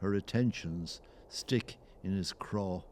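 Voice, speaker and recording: A man's voice: deep voice, takes it slowly, a little mellow, slight background noise. A man playing a solemn storyteller, giving dramatic, lyrical narration in an audio play. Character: solemn storyteller narrating an old Irish tale.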